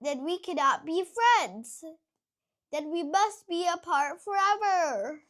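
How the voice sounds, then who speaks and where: A man's voice: very high-pitched, lively intonation, slow, a bit bright, voice pushed up, full character voice. A man voicing a worried, dramatic elephant who keeps sneezing and speaks in a melodramatic way.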